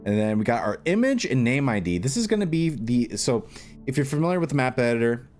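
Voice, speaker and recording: A man presenting an unscripted step-by-step screen tutorial, thinking aloud in a halting way as he explains. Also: medium pitch, very animated, a little mellow, faint music behind.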